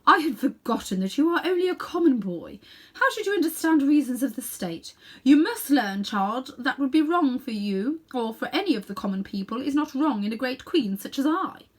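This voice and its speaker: A woman's voice: sits high, animated, normal speed, pitched above the performer's own voice. A woman reading a storybook as an imperious, pompous evil witch queen who commands with grand contempt.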